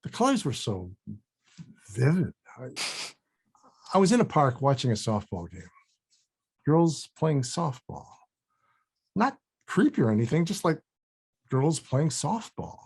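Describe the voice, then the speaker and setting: Man playing husky, animated, awkward guy. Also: on the high side, a little slow, long pauses, exaggerated voice. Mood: hesitant, sheepish. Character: anxious, awkward adult male actor, defensive and sheepish. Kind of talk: comedy script table read.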